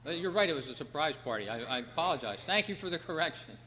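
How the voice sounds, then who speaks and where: A man hosting a trivia quiz at a club meeting, reading out questions in a measured, announcing way. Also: fairly high pitch, expressive, bright, forceful tone. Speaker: quiz host. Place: hall at a convention club meeting. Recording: reverberant hall, clear background noise and hum.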